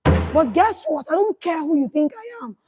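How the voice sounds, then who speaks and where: A woman performing a grieving, vengeful woman lawyer. She speaks in a dramatic monologue, often shouting through tears. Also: very high voice, expressive, normal speed, background noise. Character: grieving vengeful woman lawyer whose baby was killed.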